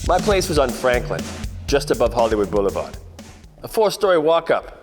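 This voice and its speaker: An actor playing a wisecracking, world-weary 1940s private eye, narrating in a wry, hard-boiled radio-parody style. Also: male voice, medium pitch, big pitch swings, a little fast, close to an everyday voice. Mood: weary, sardonic. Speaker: actor narrating the lead part. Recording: long hall reverb, music underneath.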